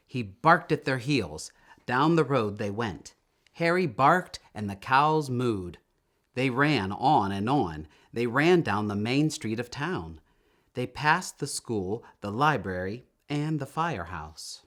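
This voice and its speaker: A man reading a children's story aloud in an expressive, emphatic storytelling manner, drawing out words. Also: medium pitch, takes it slowly. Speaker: storytime reader.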